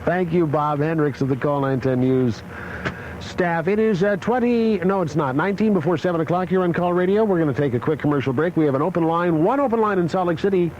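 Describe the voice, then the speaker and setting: A man's voice: fairly high pitch, expressive, brisk, a little mellow. A man hosting morning radio with droll, mock-serious casual banter. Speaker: morning radio show host. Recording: dull AM radio aircheck, faint noise.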